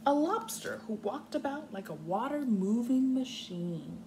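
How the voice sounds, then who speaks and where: A woman's voice: fairly high pitch, expressive, unhurried. A young adult woman with an American accent reads aloud and explains simply to small children, like a kindergarten teacher. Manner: instructive, simple wording with clear explanations. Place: living room on a couch, indoors. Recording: clearly noisy home recording.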